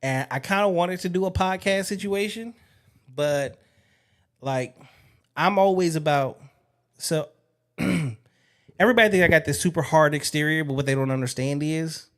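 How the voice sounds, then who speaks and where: A man's voice: a little high, normal speed, pauses often. A man hosting a podcast, talking in a frank, casual way and swearing freely. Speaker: podcast host. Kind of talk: casual interview chat.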